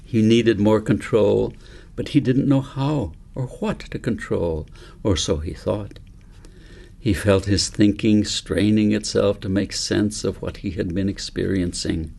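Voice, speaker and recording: A man narrating a novel as its author, in measured, deliberate phrases with pauses. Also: fairly low pitch, fairly even intonation, gravelly, warm tone. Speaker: author reading his novel. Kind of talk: scripted audiobook narration.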